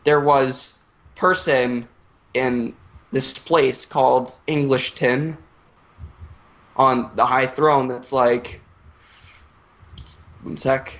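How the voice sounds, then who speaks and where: A young man improvising a comic story in a casual, halting, rambling way with crude slang. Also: medium pitch, steady tone, fairly bright tone, low-quality recording.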